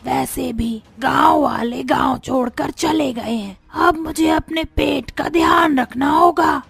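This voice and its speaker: A woman plays a man-eating cartoon witch with a high, heavily gravelly voice. She drags out her words theatrically, hungry and menacing, over music. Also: exaggerated voice. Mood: greedy, scheming. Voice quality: creaky, gravelly. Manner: slow, drawn-out, theatrical. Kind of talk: Hindi animated horror story acting.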